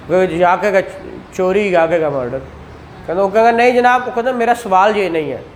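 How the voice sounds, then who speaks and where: A man's voice: sits high, slight background noise. A man, teaching a law class and explaining points with rhetorical questions and acted examples. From Pakistan. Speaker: law lecturer. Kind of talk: classroom lecture.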